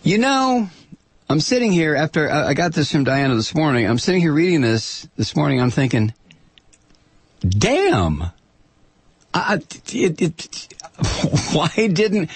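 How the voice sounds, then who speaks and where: Male American radio host, irreverent and sarcastic, with a husky, creaky voice and dry, close sound. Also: no room sound. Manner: dramatic emphasis, swinging from low asides to shouts. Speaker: political talk show host. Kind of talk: opinionated radio monologue, reading and commenting on listener mail and news.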